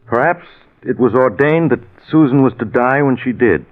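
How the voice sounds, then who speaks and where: An actor playing a concerned, steadying friend, earnest and admonishing in a deliberate 1940s radio drama style. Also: male voice, mid-range voice, lively intonation, close to an everyday voice. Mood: earnest reasoning. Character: concerned, steadying male friend of a doomed man. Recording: very muffled old 1940s radio recording.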